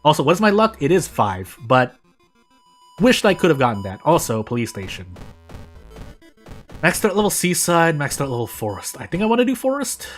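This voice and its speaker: An American man with a fairly high voice streams a game with music playing faintly behind him. He talks casually and loosely, wandering between thoughts and correcting himself mid-sentence. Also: sing-song, normal speed, pauses often. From United States. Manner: rambling, self-correcting. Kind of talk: casual live gameplay commentary.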